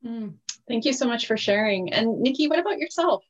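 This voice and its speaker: A woman hosting a podcast interview over a video call, measured and grateful toward her guests. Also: on the high side, lively intonation, muffled recording. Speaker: podcast host.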